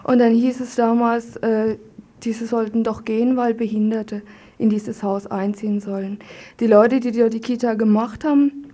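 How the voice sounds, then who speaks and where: A woman speaking as a guest in a radio studio discussion, putting her points across in plain, measured language. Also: fairly high pitch, steady tone, mellow. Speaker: studio guest, member of an activist group. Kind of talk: reading a letter and giving her view in a radio discussion.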